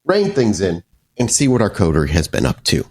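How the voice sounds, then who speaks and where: Low-voiced, expressive male game master. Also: a little fast, slightly rough. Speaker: game master and podcast host. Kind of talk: narrating a tabletop roleplaying game.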